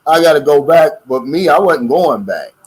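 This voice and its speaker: A man's voice: fairly high pitch, lively intonation, normal speed, slightly rough, poor audio. An older American man co-hosting a casual livestream chat and reading out viewer comments in a folksy, drawling way. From United States. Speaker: co-host of a livestream.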